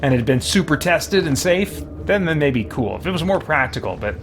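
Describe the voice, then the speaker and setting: A man hosting a game stream, chatting casually and answering viewers in a halting, think-aloud way. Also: fairly high pitch, very animated. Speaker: video game livestream host. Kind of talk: casual gameplay chat, answering viewer questions. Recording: clearly noisy background.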